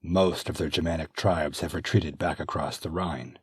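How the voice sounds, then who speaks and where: A man's voice: a bass voice, steady tone, moderate pace, breathy edge, no room sound, clean studio sound, full character voice. A man voicing an earnest, anxious Roman general who speaks with urgency.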